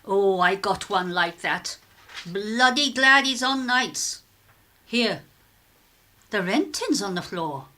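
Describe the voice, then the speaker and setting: An actress playing a nosy, blunt, gossipy British working-class neighbour in a radio drama, speaking in colloquial phrases. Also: female voice, mid-range voice, animated, slow, pauses often, sharp tone. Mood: prying, chatty.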